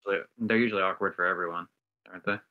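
A man's voice: low voice, fairly even intonation, fast, narrow, tinny audio. A young man hosting a podcast, laid-back and casual, telling anecdotes with some mild swearing. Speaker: podcast host.

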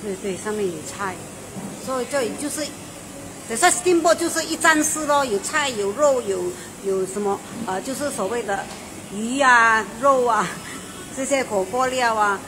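A woman's voice: on the high side, normal speed. Chatty woman hosting a food show. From Malaysia. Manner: chatty and enthusiastic, with frequent fillers and exclamations. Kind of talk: casual restaurant review chat. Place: inside a restaurant. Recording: noisy restaurant background.

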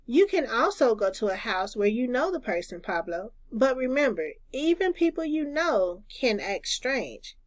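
Lady doing a big kid in a picture book, patient and instructive, lively lilt, highish. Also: measured pace, hardly any pauses, no echo, rough recording. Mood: reassuring. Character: sensible older boy patiently guiding younger friend. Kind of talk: children's storybook read-aloud.

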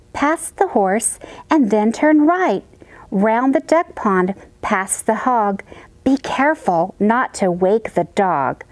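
A woman voicing a scheming, bumbling male cattle thief in a storybook, hushed and conspiratorial. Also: on the high side.

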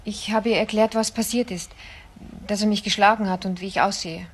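Husky, hesitant young woman, played by an actress. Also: mid-range voice, fairly even intonation, fairly quick, close to an everyday voice. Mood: nervous. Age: young adult. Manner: hesitant, terse. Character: frightened, submissive young woman under questioning. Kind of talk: German radio crime drama acting.

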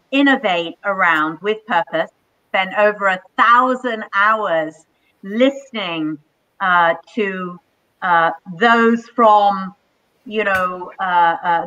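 An older woman hosting a live online panel from a home office, speaking in slow, measured, enthusiastic phrases over a video call. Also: mid-range voice, pushed and bright. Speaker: panel host. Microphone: webcam on a video call. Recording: dull lo-fi video call audio.

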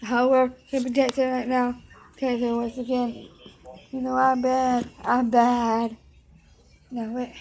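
A young woman singing songs loosely and loudly into a close webcam in an office room, shouting and chanting at times. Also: fairly high pitch, level tone, a little slow, vocal fry, poor audio. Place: indoor office room with desks and computers. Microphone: webcam at close range, with headphones on.

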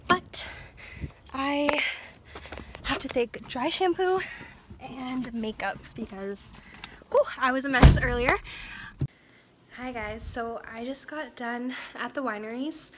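A woman's voice: fairly high pitch, slight background noise. A young woman in her twenties chatting casually to her phone camera as a fitness vlogger, giggly and full of fillers. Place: home kitchen, gym and nail salon. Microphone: phone held at arm's length.

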